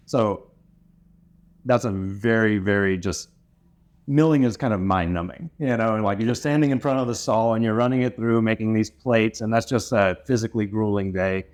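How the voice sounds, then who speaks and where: A man in his thirties, originally from North Carolina, speaks with a deep, low voice. He answers interview questions about his work as an instrument maker in a relaxed, thoughtful way, often pausing with "uh" to gather his words. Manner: easygoing, thoughtful, lots of "uh" pauses.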